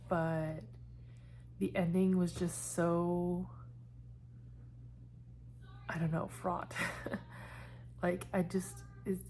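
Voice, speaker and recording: Chatty woman with a lowish voice. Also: darkish tone, a little hiss or hum, low-quality recording. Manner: chatty and meandering, trailing off with fillers. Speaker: book vlogger. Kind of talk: casual chat.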